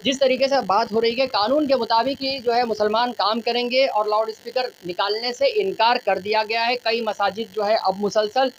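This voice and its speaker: Female field reporter, high voice, wordy formal delivery, light location noise behind. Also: steady tone, moderate pace, few pauses. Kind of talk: news reporting, interviewing locals. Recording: noisy on-location news audio.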